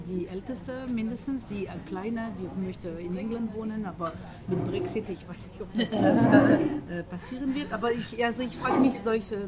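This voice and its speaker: A middle-aged woman from France speaking German with a French accent, often searching for words in a casual breakfast conversation, with many pauses and fillers. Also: medium pitch, very noisy. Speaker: guest. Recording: breakfast table with a group chatting in the background.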